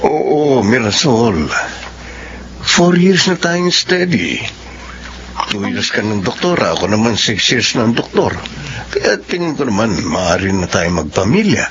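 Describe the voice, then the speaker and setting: A man's voice: medium pitch, big pitch swings, measured pace, fairly bright tone. A man playing an earnest, reassuring young doctor in love in a radio drama, with a Filipino accent. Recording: dull radio sound, faint noise.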